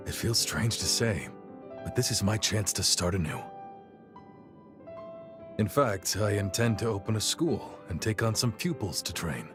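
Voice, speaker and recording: An actor playing an easygoing middle-aged warrior captain and encouraging mentor in a video game cutscene. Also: male voice, lowish voice, expressive, measured pace, long pauses, music in the background. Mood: encouraging, hopeful.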